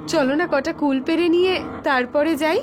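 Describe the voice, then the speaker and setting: A woman's voice: sits high, moderate pace, noisy background, lightly acted. An actress playing a playful, insistent young woman in a horror story drama. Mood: insistent, coaxing. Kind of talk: dubbed horror story dialogue. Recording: loud music over the dialogue.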